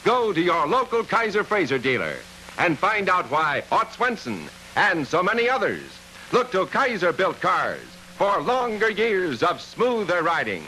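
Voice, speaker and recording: Male 1950s ad announcer, forceful, lively pitch swings, punchy selling, faintly noisy old film sound. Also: unhurried. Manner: emphatic, punchy salesman delivery. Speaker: commercial announcer. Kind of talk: vintage 1950s car advertisement narration.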